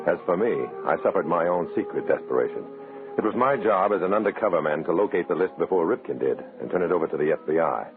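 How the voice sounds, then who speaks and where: A hard-boiled undercover man, wry and measured. His low voice moves expressively, in a muffled vintage radio drama recording. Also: lively intonation, music in the background. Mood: tense. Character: wry undercover agent posing as loyal communist comrade. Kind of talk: old American radio drama narration and acting.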